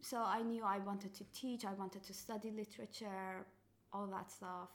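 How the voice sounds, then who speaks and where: Gravelly-voiced woman writer. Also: medium pitch, moderate pace. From Iran. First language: Farsi. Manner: animated, with frequent fillers and self-corrections. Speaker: author, guest. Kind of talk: reading her fiction aloud, then casual interview talk.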